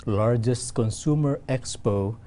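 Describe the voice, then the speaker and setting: A man from the Philippines, a guest on an interview show, explaining in halting talk with many restarts. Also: medium pitch, dark tone, a little hiss or hum. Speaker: event representative guest.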